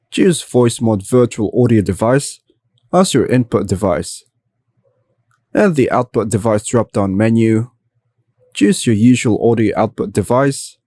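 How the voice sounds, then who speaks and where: Male tutorial voice-over, mid pitch, dry close mic, even instructional read. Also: dry recording. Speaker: tutorial narrator. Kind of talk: scripted step-by-step tutorial narration.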